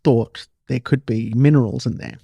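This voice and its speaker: An Australian man hosts a casual podcast conversation in a relaxed, mellow voice with a good deal of creak. His pitch is middling, and the recording is close and dry. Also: normal speed, dry recording. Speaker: podcast host.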